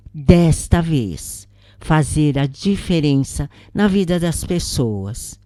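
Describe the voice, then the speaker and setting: A woman with a Brazilian accent narrating a first-person spiritual story in a solemn, measured storytelling manner. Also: low voice, warm tone, dry recording, studio quality.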